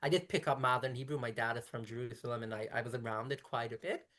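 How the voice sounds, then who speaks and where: A woman rabbi in her thirties from brooklyn, yiddish first language, talks fast in long explaining runs, keeps restarting and fixing her words mid-sentence. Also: very deep voice, fairly even intonation, a bit bright. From Brooklyn, New York. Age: 30s. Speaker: rabbi, interview guest. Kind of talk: conversational interview.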